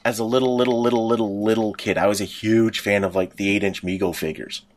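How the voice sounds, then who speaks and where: A man's voice: fairly low pitch, fairly even intonation, fast talker. A man co-hosting a podcast, chatting casually and in a rambling way. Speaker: podcast co-host. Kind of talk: casual chat about pop culture.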